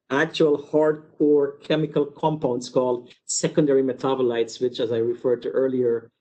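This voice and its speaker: Male researcher from Guyana, medium pitch, presenting an informal academic webinar with explanatory detours and repeated words. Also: dark tone. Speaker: university researcher giving a webinar. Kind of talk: informal academic lecture with slides.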